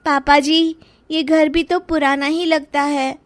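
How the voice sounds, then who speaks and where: A woman voicing a sassy, sweet-talking young daughter-in-law in an animated story, with exaggerated, expressive delivery. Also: high-pitched, slow pace, no echo, clean studio sound. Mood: coaxing. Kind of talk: animated Hindi moral story acting.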